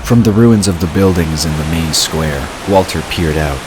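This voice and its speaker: A man narrating a fantasy story from a script in a measured, dramatic storytelling manner. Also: deep voice, background noise.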